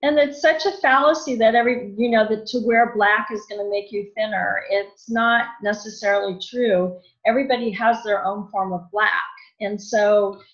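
A woman's voice: fairly even intonation, moderate pace. A woman guest giving casual style advice in conversation, explaining things in a matter-of-fact way. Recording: dull, muffled low-fidelity audio.

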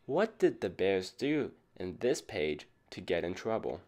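Man, low voice, storybook reading for kids, teacherly. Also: big pitch swings, measured pace, darkish tone. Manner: asks young listeners questions as a teacher would. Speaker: storybook reader for children. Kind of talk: children's picture book read-aloud.

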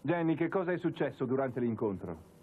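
An actor playing an earnest, clumsy young man with a husky voice in a cartoon dub. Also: medium pitch, sing-song, a little fast. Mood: worried. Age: young adult. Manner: earnest, concerned. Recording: slightly thin, narrow dubbed audio.

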